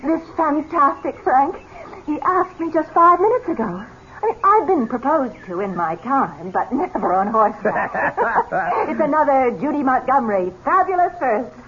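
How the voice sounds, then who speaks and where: An actress playing a gushing, self-delighted young socialite in a 1970s radio drama, breezy and theatrical. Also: female voice, high-pitched, expressive, moderate pace, a bit bright. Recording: dull, noisy 1970s radio recording.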